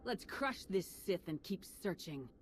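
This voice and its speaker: A woman's voice: fairly low pitch, lively intonation, unhurried, slight background noise. An actress playing a resolute, commanding female hero in a video game, firm and decisive. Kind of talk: video game dialogue acting.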